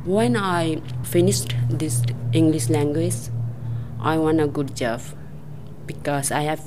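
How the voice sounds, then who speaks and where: Woman, low pitch, very slow, hesitant, faint noise. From Nepal. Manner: hesitant, with repetitions. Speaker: adult English learner. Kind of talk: personal introduction.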